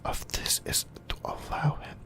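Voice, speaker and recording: A person whispering breathily in a halting ASMR explainer about anime lore, often repeating phrases. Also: a bit bright. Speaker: YouTuber, ASMR host.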